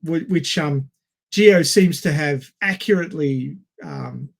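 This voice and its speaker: A man with a high-set voice talks as a podcast co-host. He is conversational and animated, with a wry, sweary way of telling a story. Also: slow, creaky. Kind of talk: casual film discussion.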